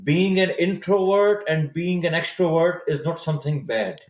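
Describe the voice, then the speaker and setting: A man in his fifties from Saudi Arabia, a native Urdu speaker, telling his story as a podcast guest, thoughtful and often pausing to restart his words. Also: a little high. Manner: reflective, searching for words with frequent restarts. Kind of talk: casual interview storytelling. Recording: echoey room sound.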